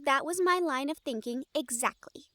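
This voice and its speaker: A woman's voice: high-pitched, normal speed, bright, forceful tone, no room sound. A woman playing an earnest, studious, slightly bossy young woman in a scripted audio roleplay, speaking in an organized, teasing, formal way. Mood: friendly.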